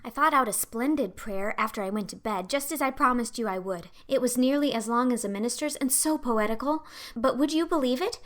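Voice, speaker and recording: A woman's voice: a little high, fairly quick, hardly any pauses, voice pushed up, close to an everyday voice. A woman voicing an imploring, talkative, dreamy orphan girl in an audiobook, with a gushing, earnest and dramatic delivery. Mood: earnest, chatty. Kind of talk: audiobook character acting.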